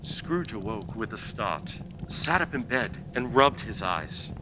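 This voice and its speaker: A man's voice: fairly low pitch, sing-song, takes it slowly, noisy background. A man narrating a scripted radio play as storyteller and announcer.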